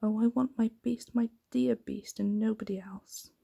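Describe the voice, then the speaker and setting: A woman softly voicing a tender, earnest, kind young woman in a bedtime storybook reading, with a breathy delivery. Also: fairly high pitch, steady tone, normal speed, dry recording, muffled recording, voice pushed up, heavily acted. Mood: bewildered.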